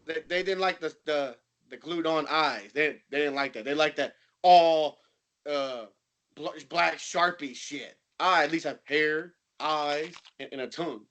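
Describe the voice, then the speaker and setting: A crude old sock puppet played by a man in an improvised comedy bit. The voice is high and bright, with a defiant, halting delivery full of repeated words and profanity. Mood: grumbling.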